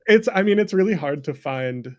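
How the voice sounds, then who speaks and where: A man speaking as a game developer guest in a casual conversation, candid and animated, and quick to laugh. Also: a little high.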